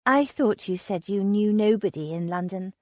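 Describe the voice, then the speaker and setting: Lady Lily, a woman voicing a gentle young noblewoman. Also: medium pitch, moderate pace, no echo, lightly acted. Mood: polite.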